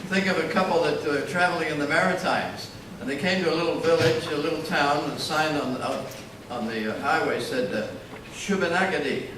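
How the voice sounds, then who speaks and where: A man's voice: a little high, fairly quick, few pauses. Male emcee, joke-telling host patter; distant, echoey, noisy room. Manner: storytelling joker, delivers a punchline. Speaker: master of ceremonies. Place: large conference hall. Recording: echoey hall, far from the mic, noisy.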